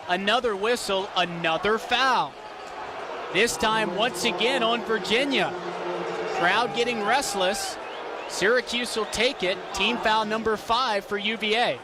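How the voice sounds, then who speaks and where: A young American man doing sports broadcast commentary in clipped, energetic phrases, as an announcer and host. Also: high-pitched, measured pace, crowd noise, noisy background. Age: young adult.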